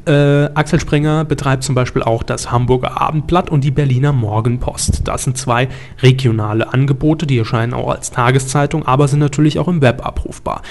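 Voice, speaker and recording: A man's voice: mid-range voice, steady tone, fairly quick, few pauses, darkish tone. Man presenting a media talk, methodical. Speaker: host of a media news talk show. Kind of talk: explanatory conversational presenting.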